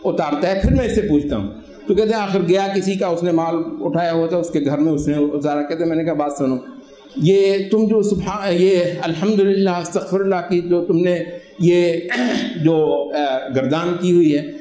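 A man in his forties with an Urdu accent, giving an animated Friday sermon at a mosque into a stand microphone, explaining teachings and reciting Arabic prayers. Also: high-pitched, normal speed. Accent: Pakistani Urdu. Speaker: imam. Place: mosque prayer hall, indoors. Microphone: stand microphone and lapel mic. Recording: roomy hall echo.

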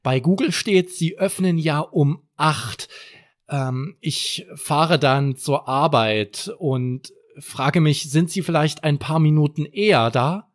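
A man's voice: a little high, slow, pitched above the performer's own voice. A man voicing a caring, busy mother in a children's story, polite and reassuring.

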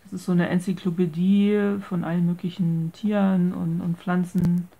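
A woman's voice: on the low side, flat delivery, normal speed, mellow, low-quality recording. A woman giving a casual, hesitant show-and-tell, searching for words and mixing English craft terms into her speech. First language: German. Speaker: craft video maker presenting a handmade journal. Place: indoors at a tabletop.

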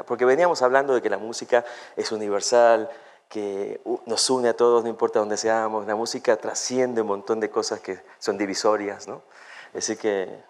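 A man in his forties from Argentina with an Argentine accent, a guest chatting playfully on a talk show set. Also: lowish voice. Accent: Argentine Spanish. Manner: playful, animated, jokes and sings snippets. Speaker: guest singer. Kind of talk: casual interview chat. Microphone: desk microphone.

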